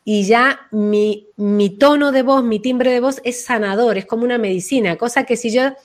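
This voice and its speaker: A woman's voice: fairly high pitch, normal speed. Woman explaining her practice conversationally, expressively. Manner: expressive, acts out names and quotes to illustrate points. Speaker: voice and name-energy practitioner being interviewed. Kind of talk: conversational explanation of a personal method.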